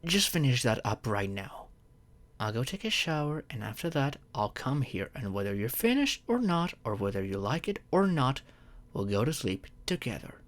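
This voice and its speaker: A man playing a tender, protective boyfriend in a soft, soothing ASMR roleplay, often close to a whisper. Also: medium pitch, sing-song, moderate pace, lightly acted. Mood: gently insistent. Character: tender, protective boyfriend soothing his overworked partner to sleep.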